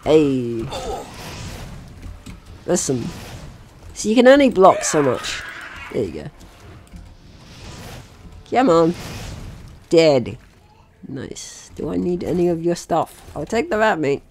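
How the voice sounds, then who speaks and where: Animated man doing playful game commentary. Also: fairly high pitch, slow pace, pauses often, soft background music. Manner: playful, swearing, talks to game characters. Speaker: gaming host. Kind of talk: casual let's-play commentary.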